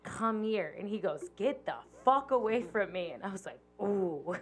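A woman's voice: mid-range voice, lively intonation, a little slow. A woman in her late twenties hosting a podcast, telling a story in a chatty, casual way and swearing freely. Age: 20s. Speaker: podcast host. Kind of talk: casual chat.

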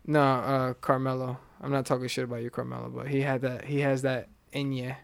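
A man in an excitable, rambling podcast chat who swears freely and keeps restarting his sentences. His voice sits at a middle pitch. The sound is dry and close, with almost no room around it. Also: fairly quick, creaky, smooth, no echo. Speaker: podcast co-host. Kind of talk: casual chat about fights.

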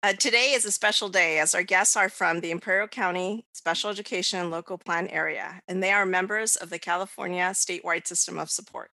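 A woman's voice: on the low side, steady tone, a little fast, gravelly, hardly any pauses, bright, forceful tone, dry recording. A woman from the United States hosting a podcast, giving prepared introductions. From United States, child of Mexican immigrants. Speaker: podcast host.

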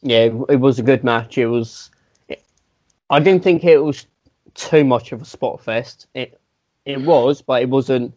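A man's voice: mid-range voice, unhurried, pauses often, a little mellow. A man, co-hosting a casual podcast and telling a self-mocking, rambling story full of restarts. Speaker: podcast co-host. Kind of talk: casual chat, anecdote telling.

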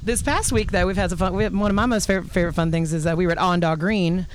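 A woman's voice: fairly low pitch, fairly even intonation, fast, a little hiss or hum. A woman, a guest singer-songwriter chatting casually and telling anecdotes. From North Carolina, United States.

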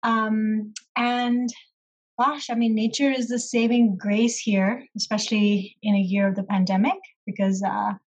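Soft-spoken woman with a husky voice. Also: medium pitch, level tone, highs cut off. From Pakistan. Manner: thoughtful, many ums and soft pauses. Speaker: therapist, interview guest. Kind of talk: casual interview conversation.

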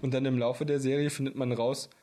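A man's voice: mid-range voice, flat delivery, fairly quick, dark tone. Rambling joking German man. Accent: mild Berlin accent. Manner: rambling, joking, prone to tangents. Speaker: podcast co-host. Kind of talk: casual banter between friends.

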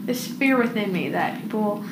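A woman's voice: mid-range voice, expressive, normal speed. A young woman co-hosting a podcast in casual chat, with playful, dry quips. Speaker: podcast co-host. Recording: roomy echo with background noise.

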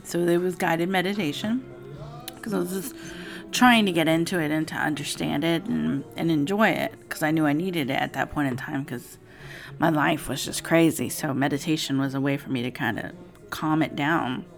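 Raspy woman, forties, lowish voice, rambling casual monologue, faint music in the background. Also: normal speed. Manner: rambling, meandering with many fillers. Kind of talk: casual personal vlog monologue. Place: home with TV music in another room.

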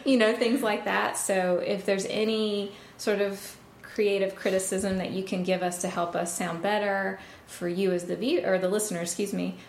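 A Southern woman in her thirties co-hosting a first-time podcast, rambling along with laughs and a little nervousness. She is recorded in an untreated home room that sounds echoey, with a faint hiss underneath her mid-pitched voice. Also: little pitch movement, normal speed, hardly any pauses. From the Carolinas, US. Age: 30s. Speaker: podcast co-host. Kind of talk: casual chat. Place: home room without padding.

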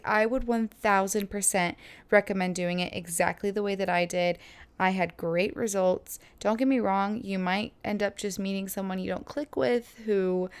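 A woman in her twenties from Florida, telling a casual story in a chatty, lively way with many fillers and laughs. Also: mid-range voice, clean tone. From Florida, USA. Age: 20s. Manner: chatty, animated, lots of "like" and laughs. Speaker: vlogger.

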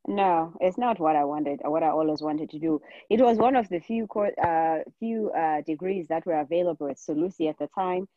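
A woman's voice: low-pitched, a little fast, hardly any pauses, dry recording. A woman in her forties with a Zimbabwean accent, chatting casually as a guest in an interview, on a slightly muffled recording. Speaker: podcast guest, nurse practitioner.

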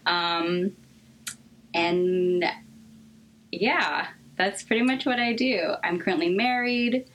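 A young adult American woman talking candidly as an interview guest, thoughtful and halting in her delivery. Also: on the low side, a bit bright. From United States, raised in Seattle.

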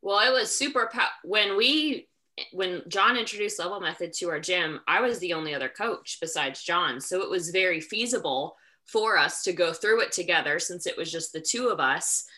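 A woman's voice: fairly low pitch, lively intonation, normal speed, sharp tone. A young woman in her twenties, a gym coach, answering interview questions with earnest enthusiasm.

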